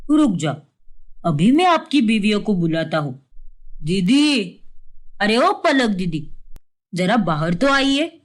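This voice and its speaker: A woman voicing a cheerful, humble, chatty male street vegetable seller in a cartoon story. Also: mid-range voice. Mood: indignant.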